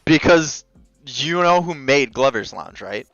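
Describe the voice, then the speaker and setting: A man's voice: mid-range voice, lively intonation, a little slow, fairly bright tone, dry recording, dull audio, faint music behind. A man in his late twenties on a casual stream, arguing skeptically and picking apart claims. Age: late 20s. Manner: skeptical, argumentative. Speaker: stream co-host.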